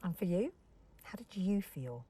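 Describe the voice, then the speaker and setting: A woman playing a calm, probing documentary interviewer, speaking off mic from a distance. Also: fairly low pitch, a little slow, slightly rough, no echo, full character voice.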